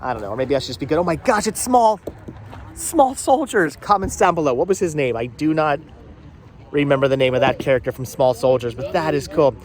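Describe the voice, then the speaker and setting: Male vlog host, fairly high voice, upbeat and chatty, casual walk-around delivery, light outdoor noise behind. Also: lively intonation, a little fast. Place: outdoor flea market on a cold day. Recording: faint outdoor background noise.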